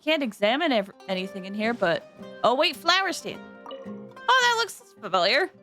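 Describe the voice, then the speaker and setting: High-voiced, animated woman game streamer. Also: moderate pace. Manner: chatty, playful, reads game text aloud. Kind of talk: casual live gameplay commentary. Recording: game music behind the voice. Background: game music.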